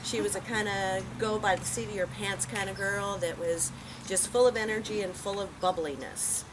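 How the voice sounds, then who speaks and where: Woman for an informal eulogy: conversational, mid-pitched, unscripted, with clear outdoor background noise. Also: steady tone, normal speed. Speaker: eulogy speaker, relative of the deceased. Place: outdoors in a park.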